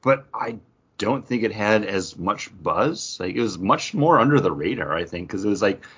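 A man's voice: fairly low pitch, expressive, normal speed, a bit bright, slightly rough. A talkative, enthusiastic man bantering casually as a podcast panelist. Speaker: podcast panelist and quiz contestant. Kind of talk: casual chatty banter about films. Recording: dull lo-fi podcast audio.

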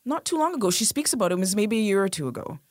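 A woman's voice: mid-range voice, big pitch swings, racing, a little mellow, no echo, studio quality. A woman interview guest, talking in a direct, emphatic way. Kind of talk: interview conversation.

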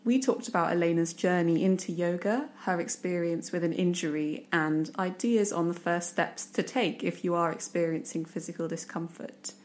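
A woman's voice: lowish voice, moderate pace, hardly any pauses, a little mellow. A woman hosting a podcast, reading a scripted intro and then chatting with a guest in an eager, enthusiastic way. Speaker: podcast host.

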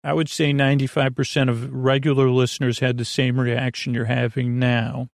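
Creaky, rambling man, lulling. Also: mid-range voice, flat delivery, no echo, studio quality. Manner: rambling, halting, full of tangents and word fragments; lulling, soothing delivery. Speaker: podcast host, bedtime storyteller. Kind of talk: meandering bedtime monologue for a sleep podcast.

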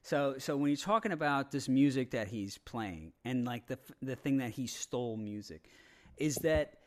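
A man's voice: medium pitch, lively intonation. Guy on a podcast rambling opinions, keeps stopping and restarting, swears a lot. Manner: halting, rambling, profane. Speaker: podcast panelist. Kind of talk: casual opinionated talk.

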